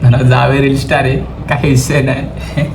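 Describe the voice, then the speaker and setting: A young man with a Maharashtrian accent talks in a playful, casual vlogger style. His speech is slangy and mixes in English words. The audio is clearly noisy. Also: mid-range voice, flat delivery, normal speed. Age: young adult. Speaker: vlogger making a prank call. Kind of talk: casual teasing chat. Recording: clearly noisy phone-shot vlog audio.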